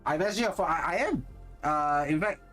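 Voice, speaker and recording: A man's voice: fairly high pitch, moderate pace, a bit bright, soft background music. A man with a Singaporean accent chatting casually with viewers on a live stream, rambling and correcting himself as he goes. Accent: Singaporean English. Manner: rambling, thinks aloud and corrects himself mid-sentence. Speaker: streamer and content creator.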